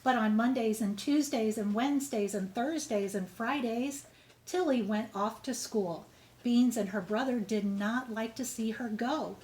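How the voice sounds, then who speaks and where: Higher-pitched woman reading a storybook. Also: darkish tone, poor audio. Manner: clear, measured storytelling for young children. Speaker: teacher reading to pupils. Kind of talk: expressive children's storybook read-aloud.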